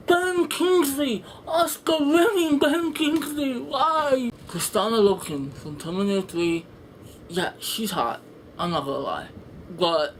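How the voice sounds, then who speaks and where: A woman's voice: medium pitch, rough recording. Female reviewer for an improvised film rant: fed up, blunt and swearing freely, with emphatic delivery and some background noise. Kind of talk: unscripted ranting movie review.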